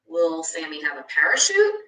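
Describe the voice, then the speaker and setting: Woman as a doubtful storybook boy, hesitant, questioning, varied pitch, roomy distant sound. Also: wildly swooping pitch, moderate pace, sharp tone, full character voice. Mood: worried, doubtful. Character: worried, doubtful young boy, hesitant little brother. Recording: echoey room, distant microphone.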